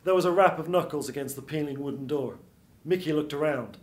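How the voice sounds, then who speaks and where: A man's voice: a little high, fairly quick. A man in his thirties reading a scripted noir radio-play narration as a storyteller. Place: small theatre stage before seated audience. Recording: roomy live theatre stage.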